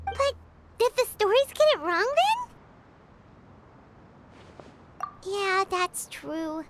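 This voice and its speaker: A woman voicing a tiny, bubbly, childlike fairy companion in a video game, squeaky and full of exclamations. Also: huge pitch swings, takes it slowly, heavy background noise, heavily acted. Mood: earnest, encouraging. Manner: bubbly, exclamatory, speaks of herself by name. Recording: music behind the voice.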